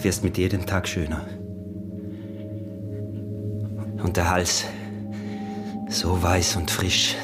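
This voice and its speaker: Scheming, possessive peasant smuggler, Austrian accent, wheedling and proud, radio drama with music clearly underneath. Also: male voice, low voice, level tone, measured pace. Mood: doting. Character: scheming, possessive, short-winded smuggler husband, greedy and proud. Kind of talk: intimate radio drama acting.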